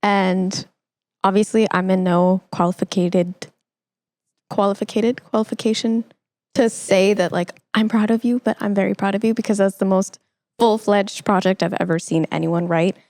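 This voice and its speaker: A woman's voice: medium pitch, fairly even intonation, normal speed, darkish tone, no echo. A young woman hosting a conversational podcast interview, speaking in an effusive, encouraging way. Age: young adult. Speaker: podcast host.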